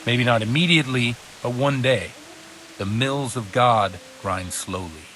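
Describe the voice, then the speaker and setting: An actor playing a worldly, kindly but principled middle-aged village priest in a video game, speaking in a measured, fatherly way. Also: mid-range voice, a little hiss or hum. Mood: grave, conciliatory. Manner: measured, fatherly, gently philosophical. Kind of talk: video game cutscene acting.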